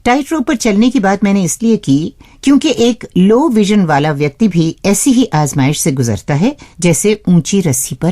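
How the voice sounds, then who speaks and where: A woman's voice: fairly low pitch, dark tone. A woman hosting a radio show, reading a scripted narration expressively and addressing listeners as friends.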